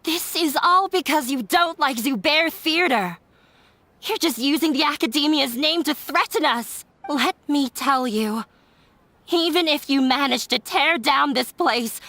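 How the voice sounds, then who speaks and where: Fiery defiant daughter. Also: female voice, sits high, animated, measured pace, no room sound, heavily acted. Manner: sharp, defiant. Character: fiery young woman defying her father.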